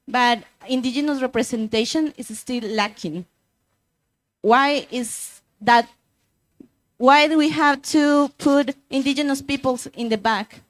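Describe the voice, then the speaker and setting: Young woman, impassioned activist, slightly high voice, rhetorical questions, panel speech. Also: no room sound. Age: young adult. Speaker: youth activist speaker. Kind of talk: panel statement.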